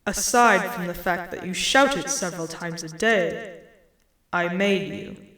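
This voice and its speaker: A woman voicing a calm, almighty disembodied male creator in a story, solemn, measured and patient, with an echo effect. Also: on the low side. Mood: assured, explaining. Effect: reverberant echo on the voice. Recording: echoing reverberant sound.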